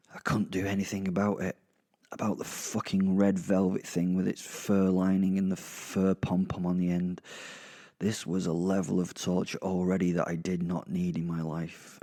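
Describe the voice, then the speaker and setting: A man acts out a sardonic, kinky werewolf in a horror audio drama, with a British accent. The voice is mellow and low, with heavy gravel and creak. He rambles irritably, swearing and breaking off for dry asides to the listener. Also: full character voice. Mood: indignant. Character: crude, kinky, irritable male werewolf narrating his ordeal. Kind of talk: first-person comic horror audio drama narration.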